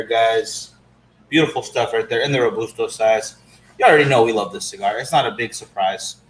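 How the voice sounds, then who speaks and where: A man's voice: medium pitch, slight background noise. A man co-hosting a cigar livestream, easygoing and talking straight to viewers. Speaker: cigar show co-host. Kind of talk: casual livestream chat. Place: cigar shop lounge. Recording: roomy shop with faint ambience.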